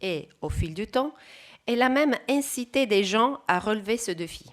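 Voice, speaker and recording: A woman from Italy, giving a careful, measured talk at an academic conference. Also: fairly high pitch, animated. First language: Italian. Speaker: conference speaker.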